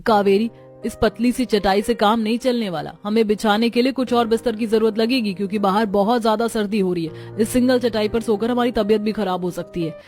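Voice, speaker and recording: A woman performing family dialogue for an animated story. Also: medium pitch, fairly quick, faint music behind. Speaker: voice of the women of a family. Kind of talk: acted Hindi cartoon story dialogue.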